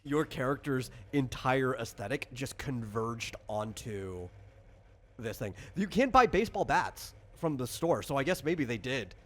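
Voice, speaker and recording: A man's voice: medium pitch, expressive, unhurried, fairly bright tone. Rambling man in casual explanatory chat. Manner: rambling, thinking aloud. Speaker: game designer and host.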